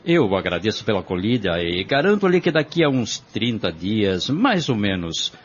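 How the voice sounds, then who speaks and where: A polite young travelling salesman in a radio play, acted by a man, with a lowish voice and lively intonation. He is earnest and courteous, heard through muffled lo-fi audio. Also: moderate pace. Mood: grateful. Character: polite travelling salesman in his early thirties, grateful. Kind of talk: radio drama acting. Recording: dull lo-fi audio.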